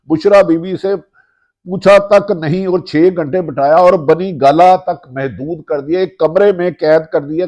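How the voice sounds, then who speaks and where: Man, Pakistani accent, slightly high voice, political news commentary, emphatic listed phrases, dull lo-fi recording. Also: darkish tone. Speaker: host of a political news channel. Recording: dull, muffled lo-fi audio.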